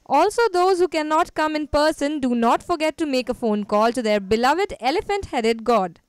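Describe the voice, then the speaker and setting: A woman's voice: sits high, animated, drawn-out words, dry recording. A woman with an Indian accent reading scripted narration for a news feature as a reporter.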